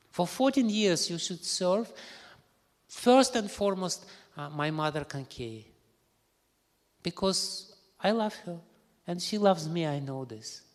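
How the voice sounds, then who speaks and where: A calm, earnest young prince speaks in a fairly high voice, as a man telling the tale gives him words. The long hall echo trails after each line. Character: gentle, dutiful young prince going into exile. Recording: reverberant hall.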